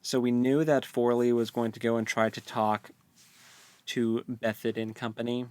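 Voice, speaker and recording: A man with a flat, even delivery talks through a book as a casual co-host. His wording is informal and slangy, and the sound is dry and close, with very little room. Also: mid-range voice, normal speed, smooth, no echo.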